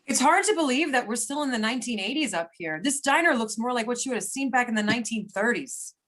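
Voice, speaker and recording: Woman playing a wry, higher-pitched federal agent. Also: expressive, quick pace, a bit bright. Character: wry, self-assured female FBI agent. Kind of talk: amateur readers-theater script reading.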